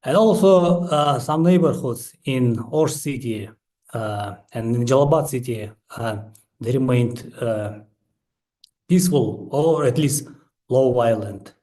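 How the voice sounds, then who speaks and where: A man who is not a native English speaker, giving an academic presentation as a researcher, halting and full of hesitations. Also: medium pitch, dark tone, low-quality recording. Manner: halting, frequent hesitations and pauses. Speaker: researcher and book author presenting his study.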